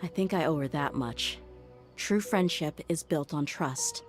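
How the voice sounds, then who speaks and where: Low-pitched loyal mercenary woman, composed and plain-spoken, game dialogue with clear music underneath. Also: a little slow. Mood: resolute, earnest. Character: loyal, frank young female mercenary bodyguard. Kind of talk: video game voice acting. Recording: game music playing behind the voice.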